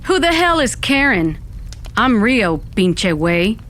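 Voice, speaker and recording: A woman's voice: a little high, very animated, takes it slowly, fairly bright tone, slight background noise, full character voice. A woman playing a sardonic undead woman, narrating an audio fiction in the first person. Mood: defiant. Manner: wry, dramatic, peppered with Spanish exclamations. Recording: music bed under the voice.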